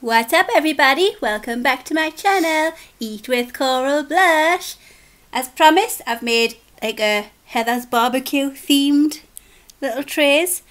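A woman's voice: sits high, measured pace, a bit bright. A young woman with an English accent, chatting through a home cooking and eating vlog, a little sniffly from a cold. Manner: chatty, talks while eating. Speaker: mukbang vlogger. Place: home kitchen.

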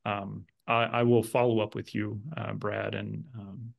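Low, creaky-voiced man explaining. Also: flat delivery, measured pace, highs cut off. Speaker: company representative answering questions. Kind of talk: webinar question-and-answer talk.

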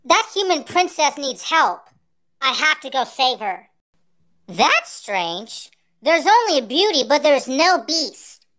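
A performer using a pitched-up voice changer to voice a brave, cocky little alien boy warrior in dramatic anime-style character clips. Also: sing-song, slow, pushed and bright, full character voice. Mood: wary, determined. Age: child character.

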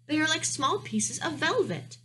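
Woman as a high-voiced, stubborn little storybook princess. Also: very animated, normal speed, pushed and bright, a little hiss or hum, exaggerated voice. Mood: tender. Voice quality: light, girlish. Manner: innocent, insistent, questioning. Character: young princess, sweet, stubborn, tender-hearted child. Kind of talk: children's storybook reading.

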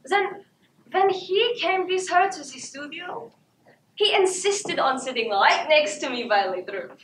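An excitable woman artist, acted by a teenage girl tells her story on stage. Her voice is high and swoops with lively expression. It comes from far off in an echoey theatre. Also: measured pace, heavily acted. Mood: indignant, wry. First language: German. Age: teens. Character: excitable German woman artist, amused and candid. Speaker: student stage actor. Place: theatre stage before an audience. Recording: echoey theatre, distant camera, background noise.

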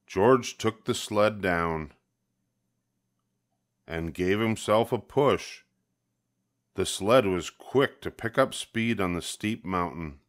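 An older man narrates a children's picture book in a low voice. He goes slowly and expressively, drawing out the key words. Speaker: storybook reader.

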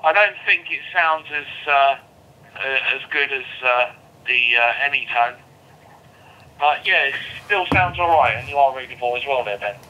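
A man's voice: medium pitch, moderate pace. A man chats casually as a ham operator over a two-way radio. He speaks in hesitant, broken-up phrases, and the transmission is dull with some light noise.

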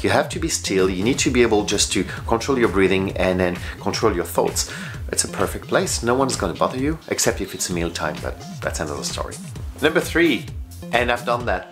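A man in his forties with a low voice talks casually to camera in non-native English. He is chatty and leans on key phrases, like a travel vlogger sharing tips. Music plays clearly behind him. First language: not English. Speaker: travel vlogger and flight attendant. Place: bedroom, indoors. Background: background music.

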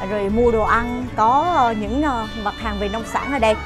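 A woman's voice: on the high side, moderate pace, over music. A middle-aged woman hosting a travel vlog, narrating a walking tour to camera in a chatty way with frequent fillers. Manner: chatty, many "ờ" fillers, addresses viewers as "quý vị". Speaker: travel show host. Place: outdoors at a farm market and inside its shop.

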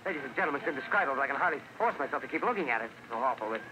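An actor playing an excited, halting American radio news reporter, a flustered eyewitness in 1930s radio drama style. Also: male voice, mid-range voice, close to an everyday voice. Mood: horrified. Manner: halting, breathless on-the-spot commentary. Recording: noisy 1930s radio broadcast off cassette.

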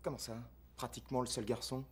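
An actor with a French accent playing an awkward, eager young student in a dubbed comedy film. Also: male voice, mid-range voice, little pitch movement, quick pace, husky. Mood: hesitant, earnest. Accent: French (France). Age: young adult.

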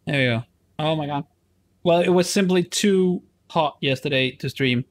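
A man's voice: a little high, moderate pace. A man in his forties chatting casually while he streams a game, playful and talkative, speaking into a headset in a small room. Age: 40s. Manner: chatty, playful, talks to chat while playing. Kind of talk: casual live stream chat.

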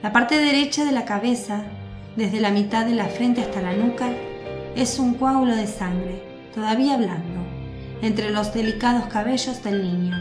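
A woman giving measured, scripted narration for a radio drama. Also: fairly high pitch. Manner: measured, descriptive. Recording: echoey with music bed.

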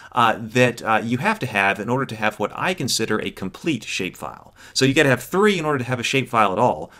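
A man's voice: on the low side, a bit bright. A man in his thirties giving an explanatory lecture as an instructor, in a didactic way with check-ins along the way. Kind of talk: explanatory lecture on GIS software.

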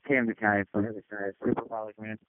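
Male responder radio calls: extremely quick, mid pitch, dry and tinny narrowband channel. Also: level tone, no room sound. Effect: two-way radio. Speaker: emergency responder calling in by radio. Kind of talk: rapid procedural radio calls.

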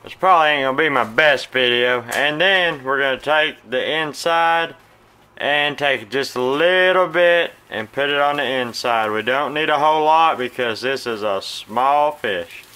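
A man's voice: medium pitch, a little slow, smooth. A man in his thirties with a Southern American accent, casually walking viewers through cleaning and pan-frying a fish in his home kitchen, recorded on a phone. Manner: folksy, relaxed, talks to viewers as "guys". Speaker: cooking channel host. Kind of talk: casual cooking demonstration. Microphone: phone camera.

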